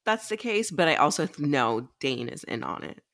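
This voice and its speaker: A woman's voice: low-pitched, sing-song, moderate pace, fairly bright tone. A woman co-hosting a book podcast, chatting casually with a wry, joking manner. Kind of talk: casual chat.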